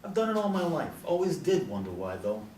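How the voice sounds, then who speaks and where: A man's voice: fairly high pitch, sing-song, brisk, a little mellow. A man acting in a stage play as an eager, aging Beatnik ringleader with an emphatic delivery. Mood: rallying. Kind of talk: stage play scene reading. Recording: echoey room from far off, faint noise.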